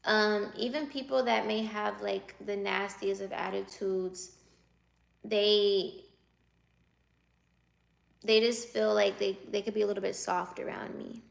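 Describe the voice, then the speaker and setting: Slow, giggly woman with a creaky voice. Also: mid-range voice, fairly even intonation, rough recording. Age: 20s. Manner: giggly, teasing, answers viewer comments aloud. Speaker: livestreamer reading chat. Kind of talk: casual live chat.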